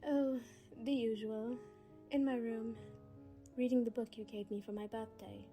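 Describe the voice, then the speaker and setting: A woman playing a gentle, sheltered young female vampire in an ASMR roleplay, speaking softly and hesitantly, at times in a breathy whisper. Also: on the high side, fairly even intonation, unhurried, soft background music, lightly acted. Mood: nervous.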